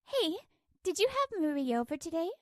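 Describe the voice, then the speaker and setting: High-voiced exasperated wife, acted by a woman. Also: sing-song, normal speed, dry recording, clean studio sound. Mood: exasperated, reproachful. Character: exasperated working wife, narrating her marital troubles. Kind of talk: scripted manga dub narration and dialogue.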